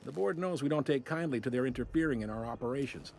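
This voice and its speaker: A man's voice: medium pitch, animated, fast talker, gravelly, darkish tone, a little hiss or hum. A man playing a wry, folksy space-station customs officer in a video game, with a droll, casually apologetic drawl. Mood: wry, confiding. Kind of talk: video game character acting.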